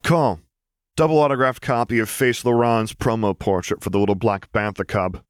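A man's voice: fairly low pitch, steady tone, moderate pace, clear, resonant tone, dry recording, studio quality, voice pushed up, close to an everyday voice. A man voicing a mischievous Jedi master with a breezy, teasing, mock-innocent farm-boy manner in an audiobook story.

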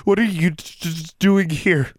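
A man's voice: deep voice, animated, fast, vocal fry, husky, no echo, studio quality, full character voice. A man voicing a stubborn teenage witch girl who stammers through chattering teeth, indignant. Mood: shivering.

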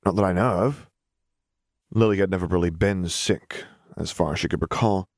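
A man's voice: sits low, no echo, clean studio sound. A man reading a scripted story aloud as an audiobook narrator.

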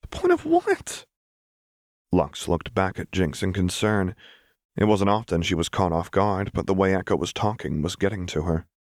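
A man's voice: deep voice, steady tone, normal speed, dry recording, clean studio sound. A man reading scripted fiction as an audiobook narrator. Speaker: audiobook reader.

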